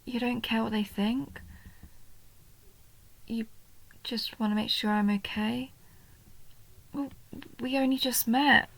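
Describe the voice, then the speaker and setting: A woman voicing a shy, sweet, nerdy goblin girl in a soft roleplay audio, hesitant and gentle, often dropping into breathy half-whispers. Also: on the high side, fairly even intonation, takes it slowly, pauses often, exaggerated voice, rough recording. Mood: flustered, touched. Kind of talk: roleplay audio, soft intimate story acting.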